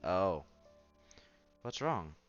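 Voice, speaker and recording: A man with a lowish voice reads a visual novel aloud as he plays, slipping between narration and casual reaction. He sometimes trips on a line and repeats it. The sound is a bit dull and lo-fi, with game music playing behind him. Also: sing-song, drawn-out words. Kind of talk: playthrough narration, reading story text aloud with casual reactions. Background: visual novel background music.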